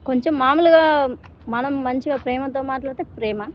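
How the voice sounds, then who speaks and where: A woman's voice: sits high, normal speed. A woman with a Telangana accent giving plain, colloquial answers as an interviewed housemaid. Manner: plain, colloquial, repeats short phrases. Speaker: housemaid and caretaker being interviewed. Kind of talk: informal spoken answers in an interview. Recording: dull lo-fi call, faint background noise.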